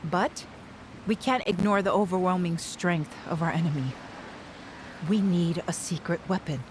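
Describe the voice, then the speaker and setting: A woman playing a pragmatic town steward in a video game cutscene, speaking in a measured, formal way. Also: lowish voice, a little hiss or hum, close to an everyday voice. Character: composed, pragmatic female town steward and adviser.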